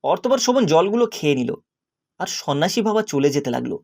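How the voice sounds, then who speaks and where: A man giving scripted narration for an animated children's story. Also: on the high side, quick pace, gravelly.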